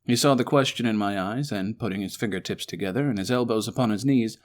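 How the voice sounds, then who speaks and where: A man narrating a classic story as a dramatic one-man performance, in a formal, measured and theatrical manner. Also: lowish voice. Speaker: actor narrating a story as Doctor Watson.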